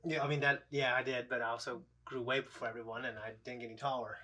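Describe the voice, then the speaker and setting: A man's voice: mid-range voice, level tone, quick pace, sharp tone. A man in his thirties chatting casually as a reaction show host, in a rambling, blunt, profanity-laced way. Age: 30s. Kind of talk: casual chat, episode discussion.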